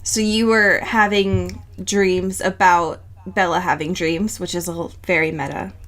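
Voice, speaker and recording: A woman's voice: lowish voice, a little slow. A woman co-hosting a podcast, telling stories in casual, comedic chat with lots of swearing and acted-out dialogue. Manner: animated, sweary, acts out dialogue. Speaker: podcast co-host.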